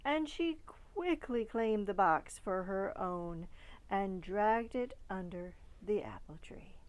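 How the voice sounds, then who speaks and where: Woman reading a kids picture book out loud, really slow, pauses between little phrases. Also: medium pitch, animated, rough recording. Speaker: storybook reader. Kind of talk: children's picture book read-aloud.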